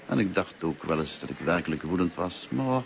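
An actor in a Dutch radio play as a kindly, talkative, lonely middle-aged teacher, courteous and musing in manner. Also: male voice, deep voice, lively intonation, a little fast, gravelly. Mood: wistful, fond. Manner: courteous, musing, hesitant and self-effacing. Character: kindly, talkative, lonely grey-haired male French teacher. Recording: noisy old radio play recording.

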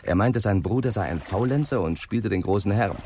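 Casting: eager but unsure detective underling, fairly low voice, halting, noisy old radio play. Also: male voice, animated, mellow. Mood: puzzled, earnest. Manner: halting, tentative, puzzling things out. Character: earnest, hesitant, deferential junior police inspector. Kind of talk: 1960s German radio crime drama acting.

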